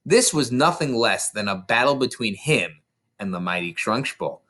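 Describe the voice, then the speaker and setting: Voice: mid-range voice, lively intonation, normal speed, fairly bright tone. A reader narrating a children's novel aloud expressively, occasionally stumbling over words. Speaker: storybook reader.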